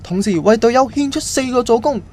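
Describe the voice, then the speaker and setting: A man playing an excitable campus radio football commentator with a Hong Kong Cantonese accent in an amateur radio drama. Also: very high-pitched, a little fast. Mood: excited. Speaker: sports commentator. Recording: loud music and crowd noise behind.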